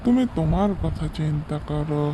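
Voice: medium pitch, animated, normal speed, natural voice. A performer voicing a lazy, wheedling crow in a children's cartoon story. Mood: carefree. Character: lazy carefree crow, pleading when in trouble. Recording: clearly noisy background.

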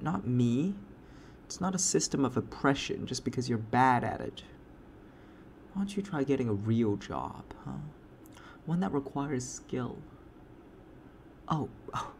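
A man's voice: mid-range voice, vocal fry, darkish tone, a little hiss or hum. A man playing a smug, cruel landlord in a satirical roleplay monologue, taunting and condescending, with mocking interjections. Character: smug, cruel landlord sneering at a struggling tenant.

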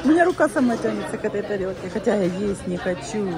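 A woman's voice: mid-range voice, lively intonation, fast talker. A woman vlogging a walk through a ship's buffet, chatting casually and thinking aloud as she films on a phone. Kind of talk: casual walking tour narration. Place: cruise ship buffet restaurant, indoors. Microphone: handheld phone camera. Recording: loud crowd noise around.

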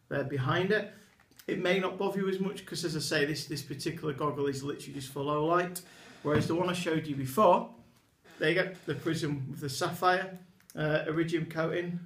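A man's voice: on the high side, a bit bright. A man in his thirties from England, an eyewear retailer talking enthusiastically to camera across a desk while he demonstrates products. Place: small indoor office with a poster behind a desk. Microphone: camera in front at desk distance. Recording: echoey small room.

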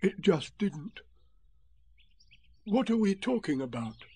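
Man voicing a dreamy teddy bear, slow and vague, gentle, storybook. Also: high voice, sing-song, husky, no echo, voice pushed up, heavily acted.

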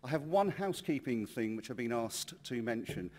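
A man's voice: mid-range voice, animated, moderate pace, a little mellow. A man chairing a conference session, introducing speakers in a genial, chatty way with many ums, at a lectern in a large hall.

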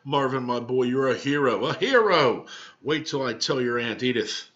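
A man's voice: mid-range voice, expressive, moderate pace, fairly bright tone, close to an everyday voice. A man voicing a jovial, proud beetle uncle in a storybook, hearty and exclaiming. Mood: proud, excited. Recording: dull webcam microphone sound.

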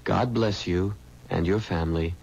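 An actor playing a man slowly reading out a letter in a 1970s radio mystery, with a husky, gravelly voice. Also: sits low, darkish tone, exaggerated voice. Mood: solemn, deliberate. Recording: dull old radio broadcast.

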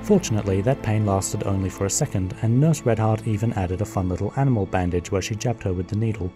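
A man giving steady, scripted narration for a fan radio play. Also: on the low side, fast talker, over music.

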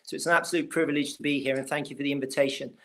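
A man's voice: mid-range voice, little pitch movement, very fast. A middle-aged man with a West Country English accent, a historian guest on a video call, speaking in a fluent storytelling way. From West Country of England. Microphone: video call webcam.

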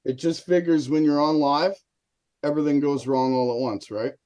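A man's voice: a little high, fairly even intonation, moderate pace, dark tone. A middle-aged man giving a casual live demonstration on a video call as a hobby experimenter. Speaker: hobby experimenter, guest presenter. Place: indoor room, video call.

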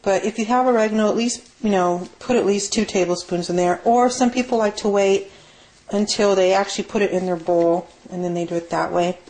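A woman's voice: mid-range voice, fairly even intonation, fairly quick, darkish tone. A woman giving a casual, rambling, unscripted cooking demonstration as a home cook in her kitchen. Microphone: handheld camera mic. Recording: noisy amateur camera audio with clicks.

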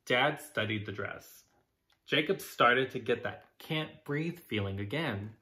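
Animated, slow-paced man reading a storybook. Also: medium pitch. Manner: dramatic, deliberate. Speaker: drag queen storytime reader. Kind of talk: expressive children's picture book narration. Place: small furnished room indoors.

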